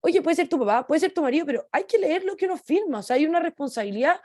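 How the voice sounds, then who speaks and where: A young woman on a radio panel, a law student who talks quickly and hesitantly, searching for words. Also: high voice, animated, dark tone, dry recording, clean studio sound. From Chile.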